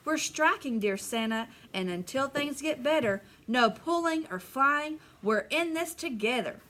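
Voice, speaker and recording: A demanding reindeer on strike voiced by a woman in a storybook read-aloud, firm and fed up. The voice is high and bright, with a little noise behind it. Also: animated, a little slow. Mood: indignant.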